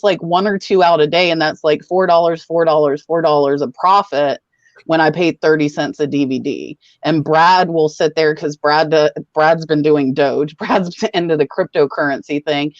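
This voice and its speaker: A woman hosting a casual livestream chat, talking in a brisk, rambling, matter-of-fact way. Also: low-pitched, no room sound, muffled recording. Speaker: host.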